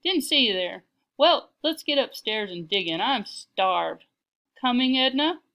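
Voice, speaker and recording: A woman's voice: a little high, expressive, unhurried, sharp tone, pitched above the performer's own voice, full character voice. A woman voicing a blunt, folksy old granny mouse in a storybook, hearty and feisty. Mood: hearty surprise.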